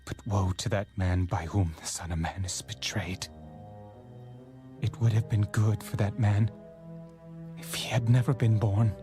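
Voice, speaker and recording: A man performs a solemn prophet and teacher in an audio Bible drama. The voice is low and authoritative, each statement measured and grave, with soft music underneath. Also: little pitch movement, natural voice. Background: background music.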